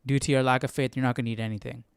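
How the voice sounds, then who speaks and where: A man reading aloud and commenting casually, thinking out loud in informal, slangy words. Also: mid-range voice, fairly even intonation, very fast, creaky, clear, resonant tone, dry recording, studio quality. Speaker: host of a live Bible study stream.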